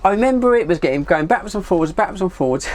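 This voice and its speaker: Rambling southern English bloke, chatty storyteller. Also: male voice, sits high, animated, very fast. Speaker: vlogger, CB radio hobbyist. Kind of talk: casual anecdotal chat.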